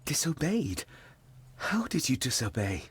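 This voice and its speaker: An actor playing an earnest, indignant young gentleman in a video game, intense and questioning, with a very breathy voice. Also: medium pitch, wildly swooping pitch, measured pace, background noise. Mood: urgent concern. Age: young adult. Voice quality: very breathy, husky. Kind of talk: dramatic video game acting.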